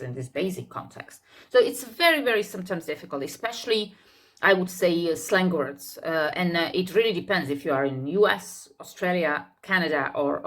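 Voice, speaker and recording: Female English teacher, lowish voice, unscripted explainer, searches for words and repeats herself as she goes. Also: a bit bright. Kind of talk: unscripted video lesson.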